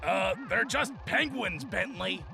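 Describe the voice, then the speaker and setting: A man voicing a big, childlike, sulky muscle man of a thief gang in an animated game, gravelly and blustering. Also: high voice, slow, full character voice. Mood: grumbling. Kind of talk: animated video game voice acting.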